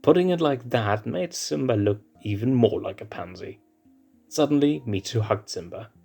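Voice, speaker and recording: A man narrating a fan-written story in a steady, even read-aloud voice. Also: medium pitch, normal speed, gravelly, soft background music. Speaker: fanfiction reader.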